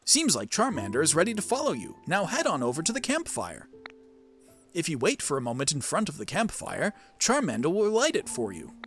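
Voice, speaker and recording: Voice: mid-range voice, huge pitch swings, brisk, soft background music. A performer voicing an informative electronic creature encyclopedia from a video game, in a formal announcing manner.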